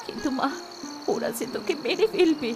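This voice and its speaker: A woman's voice: very high-pitched, lively intonation, moderate pace, over music. A woman voicing an anxious, remorseful servant and devoted foster mother in a melodramatic fairy-tale cartoon. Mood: worried.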